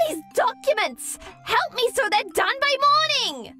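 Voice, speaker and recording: A woman's voice: extremely high pitch, very animated, a little slow, bright, forceful tone, soft background music, full character voice. A woman voicing an anxious, perfectionist young career woman with a dramatic, needy streak, in an anime-style dub. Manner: melodramatic, whiny when distressed, composed at work.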